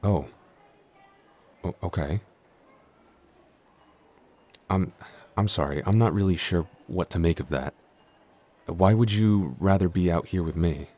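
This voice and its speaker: Man playing a very deep-voiced, shy giant. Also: a little mellow. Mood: flustered, hesitant. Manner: hesitant, stammering, apologetic. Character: shy, apologetic, clumsy young male giant. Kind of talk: intimate roleplay audio acting.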